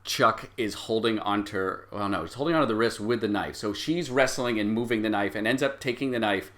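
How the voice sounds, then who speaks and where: Bright-voiced male game master. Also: on the low side. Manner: halting, thinking aloud. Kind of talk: improvised tabletop game narration.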